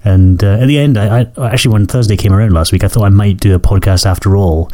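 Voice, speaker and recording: A man hosts his podcast while hoarse and croaky with a cold. His low, mellow voice rushes along at a quick clip. He rambles casually and keeps correcting himself mid-sentence. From Scotland. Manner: rambling, self-correcting, apologetic. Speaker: podcast host. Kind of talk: casual solo podcast chat.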